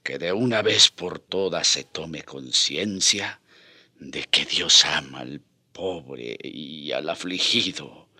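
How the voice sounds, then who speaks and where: Husky, very deep old friar. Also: male voice, pushed and bright, dry recording, studio quality, full character voice. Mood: fervent. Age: older. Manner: halting, earnest, pleading. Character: frail, fervent old friar, devout and determined. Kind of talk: radio drama acting.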